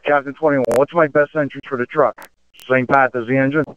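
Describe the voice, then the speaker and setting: Male fire radio operator, mid-pitched, band-limited two-way radio sound, emergency traffic delivery. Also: fairly even intonation, fairly quick, no room sound, phone-quality sound.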